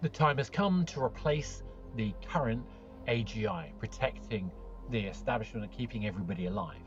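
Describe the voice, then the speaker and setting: A man's voice: on the low side, animated, brisk. Male host, dramatic narration, slightly dull sound. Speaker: show host. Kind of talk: scripted narration, dramatic show introduction. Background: faint music.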